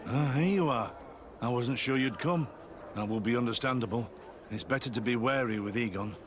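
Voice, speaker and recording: A man's voice: medium pitch, normal speed, background noise, close to an everyday voice. A man playing a guilt-ridden, secretive army sergeant turned informant in a video game, guarded, earnest and confiding. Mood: wary. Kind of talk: video game cutscene acting.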